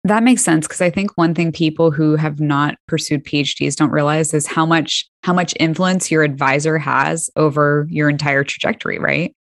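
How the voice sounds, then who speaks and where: A middle-aged woman hosts in a relaxed, conversational way, quick to affirm her guest. Her voice sits very low, and the recording is dry with no room sound. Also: no echo. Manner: casual, affirming. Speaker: podcast host. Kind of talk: conversational interviewing.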